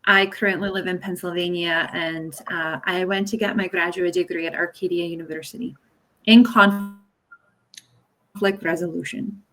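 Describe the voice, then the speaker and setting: A woman's voice: on the low side, steady tone, normal speed, pushed and bright, poor audio. A woman from Czechia speaking earnestly on a video call as an interview guest, with hesitations and fillers. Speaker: guest, conflict resolution specialist. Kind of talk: interview answers. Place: home in Pennsylvania.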